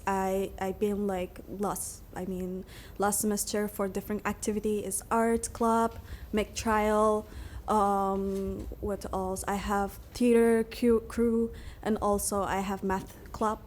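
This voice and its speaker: Young woman, halting English, noisy room. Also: mid-range voice, little pitch movement, darkish tone. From Turkey. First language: Somali. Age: young adult. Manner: halting, non-fluent English. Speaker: high school student guest speaker. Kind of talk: informal self-introduction and answering questions at a public meeting. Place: meeting room at a school board session.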